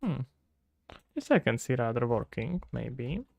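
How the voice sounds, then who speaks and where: A man's voice: mid-range voice, big pitch swings, unhurried, creaky, a little mellow, dry recording. A Czech-accented man streaming game development, thinking aloud and answering chat questions casually. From Czech Republic. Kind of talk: casual live-stream chat while working.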